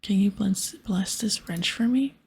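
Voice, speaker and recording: A woman's voice: mid-range voice, unhurried, vocal fry, a little hiss or hum. A woman voicing a wry female adventurer in game dialogue, whispering breathily. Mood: wry, puzzled. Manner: wry, questioning.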